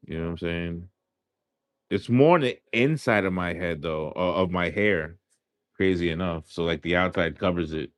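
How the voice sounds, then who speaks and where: A man's voice: sits low, moderate pace, no room sound, studio quality. A man in his thirties hosting a casual livestream, joking and rambling in slangy talk. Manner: joking, rambling, often says "you know what I'm saying". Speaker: livestream host.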